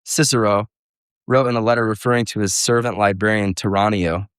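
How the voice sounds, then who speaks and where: A man's voice: lowish voice, fairly even intonation, normal speed, a bit bright, smooth, no echo, clean studio sound. A man speaking at a homeschool workshop, lecturing parents in an earnest, reflective way and weaving in quotes and asides. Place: live workshop room.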